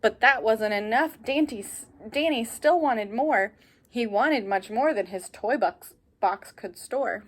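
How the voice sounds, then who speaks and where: A woman reading a children's picture book aloud like a teacher, animated, with asides and questions to young listeners. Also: a little high, a little slow.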